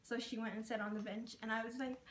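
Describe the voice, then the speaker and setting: A young woman whose first language is Karen tells her stories in a chatty, casual way, full of "like" and "um". Her voice sits a little high. She is recorded from a distance in a roomy space. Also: flat delivery, quick pace, slight background noise. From Burma, raised in Thailand. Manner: chatty, with many "like" and "um" fillers. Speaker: interviewee sharing her experience. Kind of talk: casual personal storytelling.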